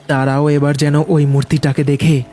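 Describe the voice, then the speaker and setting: A man's voice: fairly high pitch, little pitch movement, moderate pace. A man giving scripted, dramatic narration of a horror cartoon story. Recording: loud music behind the voice.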